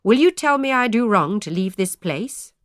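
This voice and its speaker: A woman's voice: mid-range voice, animated, moderate pace, no echo, clean studio sound, voice pushed up, close to an everyday voice. A woman voicing a blunt male farmer who speaks in old-fashioned words. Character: blunt farmer man leaving town with family.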